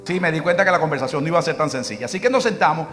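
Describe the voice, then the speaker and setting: A man's voice: a little high, expressive, rapid-fire, a bit bright, soft background music. A middle-aged Venezuelan man with a Venezuelan Spanish accent, a pastor preaching and telling a personal story, acting out bits of dialogue. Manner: storytelling, quoting his wife in dialogue.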